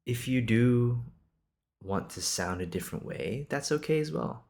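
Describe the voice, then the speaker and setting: A man's voice: medium pitch, lively intonation, a little slow, gravelly, a little mellow. A man in his twenties from Singapore hosting an improvised podcast, talking casually in a reassuring, encouraging, conversational way. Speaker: podcast host.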